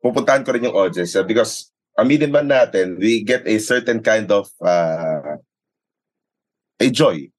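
A man with a low voice and a Filipino accent talks as a sports radio commentator in an interview. He explains things conversationally, slipping between English and Tagalog and punctuating his points with tag questions. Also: animated, moderate pace. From Philippines. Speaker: sports radio play-by-play commentator and arena announcer.

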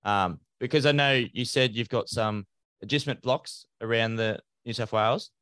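A man who hosts a farming podcast, asking questions in a relaxed conversational way. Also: medium pitch, moderate pace, no room sound. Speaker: podcast host and farmer. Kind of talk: casual interview hosting.

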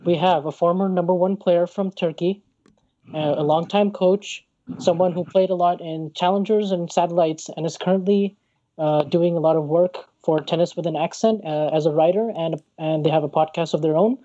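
A man co-hosting a casual podcast, speaking in a measured way with many fillers. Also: a little high, fairly even intonation, dark tone, lo-fi sound. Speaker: podcast co-host.

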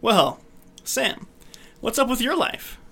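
Animated, bright-voiced man with sarcastic edge. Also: very high-pitched, takes it slowly, creaky, slight background noise. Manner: sarcastic, teasing. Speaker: podcast host. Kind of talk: casual group chat.

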